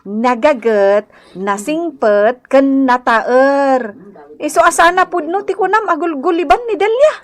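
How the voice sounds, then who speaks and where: A woman's voice: high-pitched, unhurried. A woman with an Ilocano accent playing a reproving, fair-minded village woman in a radio comedy drama.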